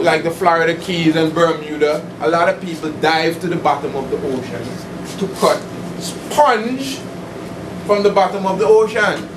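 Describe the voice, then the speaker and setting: A man giving an informal esoteric lecture as a preacher, emphatic and didactic, repeating points and asking rhetorical questions. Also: high-pitched. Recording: distant mic, echoey room, noisy hum.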